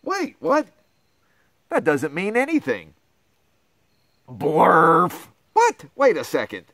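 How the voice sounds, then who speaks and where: A man's voice: sits high, huge pitch swings, measured pace, no echo. A middle-aged man reading a picture book aloud to children with theatrical, exaggerated dismay and astonishment. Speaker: pastor reading to schoolchildren.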